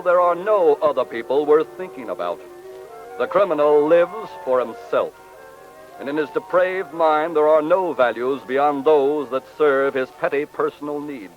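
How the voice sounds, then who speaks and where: A man narrating a scripted 1940s radio show in a grave, moralizing manner. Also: fairly high pitch. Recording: tinny, narrow-band old radio recording.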